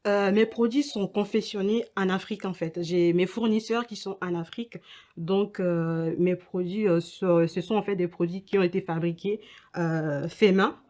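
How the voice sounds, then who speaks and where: A young woman from Ghana and Togo giving halting interview answers as an entrepreneur, often repeating her words. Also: fairly low pitch, steady tone. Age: young adult. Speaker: entrepreneur and student being interviewed. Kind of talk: interview answers about own business.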